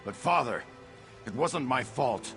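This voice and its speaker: An actor playing a shaken, dutiful young nobleman in a video game, speaking haltingly and earnestly. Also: mid-range voice, animated, vocal fry, fairly bright tone, faint music behind. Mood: apologetic. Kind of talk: scripted video game dialogue.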